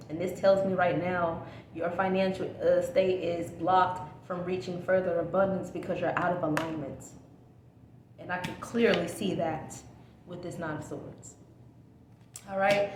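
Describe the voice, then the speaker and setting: A woman's voice: lowish voice, darkish tone, reverberant. A woman giving a casual tarot reading to her viewers, repeating reassurances and checking in with "okay?", her voice a little scratchy from a coming cold. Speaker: tarot reader.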